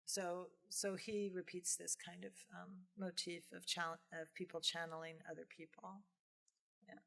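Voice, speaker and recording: Woman lecturer fielding questions, conversational and expressive. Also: on the low side, a little slow, vocal fry. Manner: conversational, animated retelling with acted-out asides and frequent "right?". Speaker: professor giving a film lecture and taking audience questions. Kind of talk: informal lecture question-and-answer. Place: film screening lecture venue with audience.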